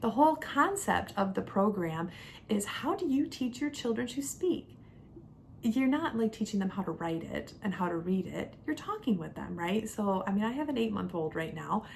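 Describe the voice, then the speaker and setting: Gravelly young woman, chatty. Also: mid-range voice, animated, normal speed, a little hiss or hum. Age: young adult. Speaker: homeschooling mother and vlogger. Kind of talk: casual product review talk to camera.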